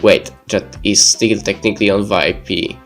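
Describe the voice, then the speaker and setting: A man's voice: lowish voice, fairly even intonation, normal speed. Man narrating a visual novel over music. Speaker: video game let's player.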